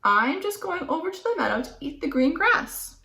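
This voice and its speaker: A woman voicing a little male billy goat, young, timid but clever, with a high piping voice. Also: sing-song, moderate pace, vocal fry, pushed and bright, exaggerated voice. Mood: nervous.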